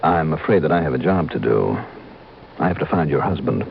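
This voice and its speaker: An actor playing a wry, businesslike insurance investigator in an old-time radio detective drama, clipped and hard-boiled. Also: male voice, rumbling low, expressive, a little fast, vocal fry, slight background noise, heavily acted. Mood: polite, probing. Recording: dull old radio recording, music behind.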